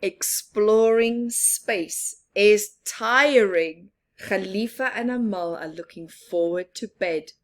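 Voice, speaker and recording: Slow, expressive woman reading a storybook. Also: mid-range voice. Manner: slow, expressive, with soft laughs and whispered asides. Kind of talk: children's picture book read-aloud.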